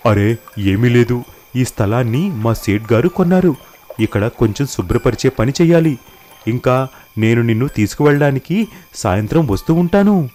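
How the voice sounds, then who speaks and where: A man puts on a bossy, smooth-talking employer in a cartoon moral tale. He is brisk and placating, with authority in a mid-pitched, lively voice. Faint music sits behind him. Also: natural voice. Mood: reassuring. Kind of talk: animated moral story dialogue.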